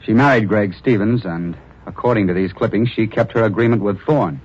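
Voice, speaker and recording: An actor playing a young police reporter in an old radio drama, narrating the story steadily. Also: male voice, on the low side, a little fast, slightly rough. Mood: steady. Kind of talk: 1940s radio acting. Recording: dull lo-fi old radio recording.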